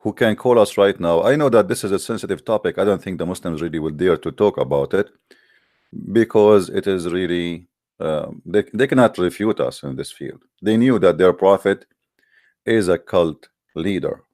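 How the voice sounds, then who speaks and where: A man's voice: low voice, moderate pace, a little mellow, no room sound, studio quality. A man, an English speaker, hosting a live call-in debate show in a hesitant but challenging way, with many fillers. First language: Arabic.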